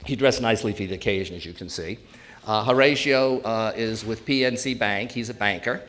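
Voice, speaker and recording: A man's voice: fairly low pitch, fairly quick, a bit bright. A man in his sixties with a New England accent, giving an informal live talk and bantering jokingly with his audience. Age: 60s. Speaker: presenter, elder law attorney.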